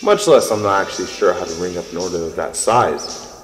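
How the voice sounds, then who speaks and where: A man playing a sardonic, put-upon young fast-food cashier in an audio drama. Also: low-pitched, reverberant, big-room sound, faint music behind, natural voice. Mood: exasperated. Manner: sarcastic, exasperated. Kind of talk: audio drama acting with inner monologue.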